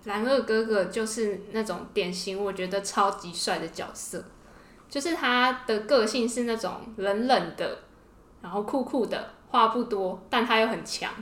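A woman's voice: medium pitch, a bit bright. Echoey-room Taiwanese woman chatting expressively. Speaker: podcast co-host. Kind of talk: casual panel chat about fiction. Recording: roomy, echoey sound.

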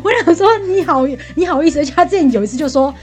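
A woman with a Taiwanese accent in casual, gossipy chat, venting about things in an animated way and mimicking the people she quotes. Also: high-pitched, rapid-fire, soft background music. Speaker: podcast guest venting about work.

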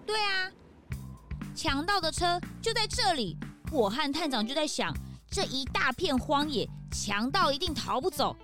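A woman playing an eager, excitable police sidekick in a children's audio drama, speaking in a bouncy, exclamatory way. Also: high voice, a little slow, over music.

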